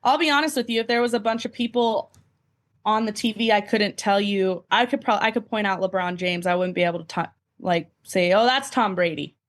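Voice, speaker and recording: A woman's voice: medium pitch, brisk. A woman as a podcast guest, chatting casually in a candid, self-deprecating way. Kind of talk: casual chat.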